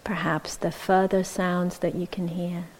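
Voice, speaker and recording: A woman guides a meditation in a slightly low voice. Her pace is very slow and measured, with gentle phrasing and long pauses as she gives each instruction. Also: flat delivery. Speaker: meditation teacher.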